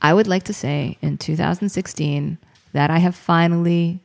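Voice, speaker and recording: Lively woman, low-pitched. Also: gravelly, slight background noise. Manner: animated, with quick high swoops of emphasis. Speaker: podcast co-host answering listener questions. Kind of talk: casual chat.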